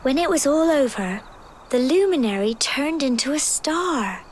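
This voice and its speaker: An actress playing a gentle, wistful young village girl in a video game, earnest and soft-hearted, with an English accent. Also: sits high, lively intonation, measured pace, a little hiss or hum. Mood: wistful, storytelling.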